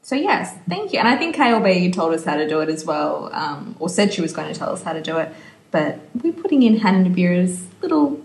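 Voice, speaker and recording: A woman's voice: lowish voice, sing-song, fairly quick, a little mellow. A woman co-hosting a podcast in casual chat, recorded with a roomy echo. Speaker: podcast co-host. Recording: roomy, echoing sound.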